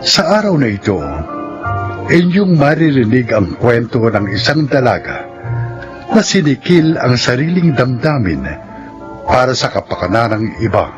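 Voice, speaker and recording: An actor as an earnest storyteller, announcing a radio drama in scripted narration. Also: male voice, medium pitch, big pitch swings, unhurried, muffled recording. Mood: earnest, solemn. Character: earnest male storyteller introducing a sad testimony. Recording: music behind the voice.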